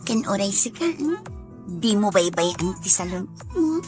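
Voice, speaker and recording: A woman playing a gentle, affectionate young sweetheart in a radio drama, with an Ilocano accent. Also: medium pitch, big pitch swings, normal speed, natural voice. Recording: radio drama with music underneath.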